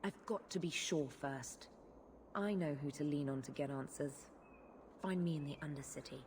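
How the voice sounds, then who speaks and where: A woman's voice: sits low, moderate pace, noisy background, lightly acted. A woman voicing a tough, cynical underworld mercenary in a fantasy video game, with a blunt, menacing, sardonic delivery. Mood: grimly determined.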